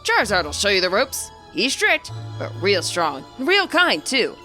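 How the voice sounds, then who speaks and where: Woman voicing a showy, confident young male champion, bright tone. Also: mid-range voice, wildly swooping pitch, unhurried, soft background music, exaggerated voice. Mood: proud, encouraging.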